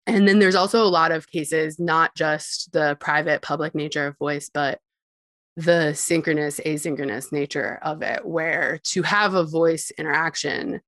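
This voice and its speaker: A woman's voice: deep voice, steady tone, unhurried, a bit bright, no echo, studio quality. A woman guest giving long explanatory interview answers about technology, often with a light laugh.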